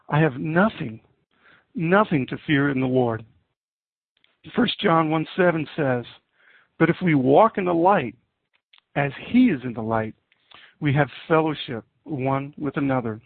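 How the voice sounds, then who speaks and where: Gravelly middle-aged male preacher, phone-like sound. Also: fairly high pitch, no room sound. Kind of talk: sermon with scripture readings. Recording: muffled narrowband, phone-like audio.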